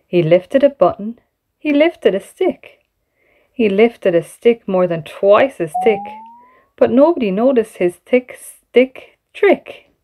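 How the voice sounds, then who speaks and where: A woman reading a children's picture book aloud as an expressive bedtime story. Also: medium pitch. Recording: music playing behind the voice. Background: background music.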